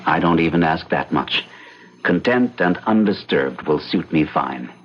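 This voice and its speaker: A dull, aged radio recording carries a self-assured, solitary man of science. His male voice sits low but moves animatedly, with a crisp, formal, theatrical delivery that is brusque and intense. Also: animated, a little slow. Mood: curt, dry. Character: reclusive, driven male mathematician, brusque and set in his ways. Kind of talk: old-time radio drama acting and narration.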